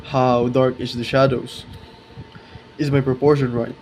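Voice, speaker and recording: A twenty-year-old man talking casually and reflectively to his viewers in a vlog, halting and correcting himself as he searches for words. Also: mid-range voice, level tone, darkish tone, a little hiss or hum. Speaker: art video creator.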